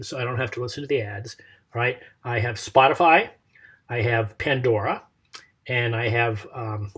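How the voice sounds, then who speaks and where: A man's voice: mid-range voice, fairly bright tone, breathy edge. A middle-aged man teaching a recorded college lecture, losing his voice, rambling and tacking "okay?" onto his points. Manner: rambling, checks in with "okay?" and "right?". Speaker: college instructor.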